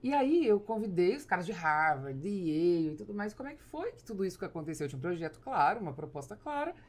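A woman's voice: lowish voice, very animated, quick pace, darkish tone. A woman with a Brazilian accent, hosting a podcast conversationally at a close studio microphone. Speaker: podcast host. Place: small foam-padded podcast studio. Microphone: studio microphone on boom arm, close.

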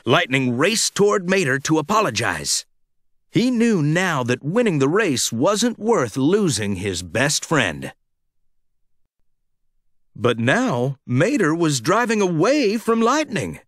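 Animated, unhurried male children's storyteller. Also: fairly high pitch, dry recording, clean studio sound. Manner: dramatic, expressive delivery for children. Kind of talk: scripted read-along storytelling.

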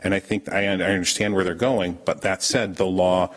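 A man's voice: deep voice, steady tone, normal speed. A man speaking as a town official at a public board meeting, explaining his position firmly with frequent restarts, picked up by a room camera across the meeting room. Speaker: town official presenting an ordinance.

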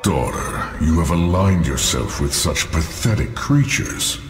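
An actor playing a cold, cruel titan warlord in a video game, speaking in measured, contemptuous threats. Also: male voice, expressive, faint music behind. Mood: menacing. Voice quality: deep, slow, rumbling. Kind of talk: video game cutscene acting.

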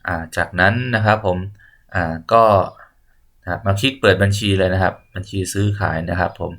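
A man with a low-pitched voice talks through an on-screen tutorial. He speaks politely and haltingly, taking the listener through each step as he goes, without a script. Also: steady tone, a bit bright. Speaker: tutorial presenter. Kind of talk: spoken software walkthrough, unscripted.

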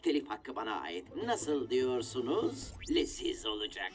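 A man's voice: medium pitch, sing-song, a little slow. An actor playing a pretentious, showy male celebrity chef in a cartoon. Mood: smug. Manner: smug, showy.

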